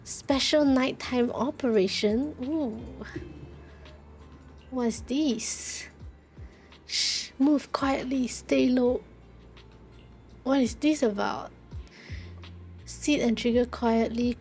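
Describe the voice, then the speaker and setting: A woman's voice: fairly high pitch, slow, pauses often, darkish tone, faint music behind. A woman streamer casually commenting on a story game and reading its text aloud.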